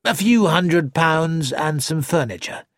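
A narrator voicing a reserved, patient older male lawyer who speaks quietly and carefully in a dry studio recording. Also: mid-range voice, lively intonation, dry recording. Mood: measured. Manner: measured, quiet.

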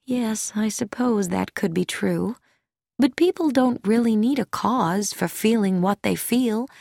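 A woman voicing a gentle, serene elderly lady who speaks softly and musically, as a character in an audiobook. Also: fairly high pitch, expressive, takes it slowly, no room sound, studio quality, voice pushed up. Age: older. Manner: soft, musical and untroubled.

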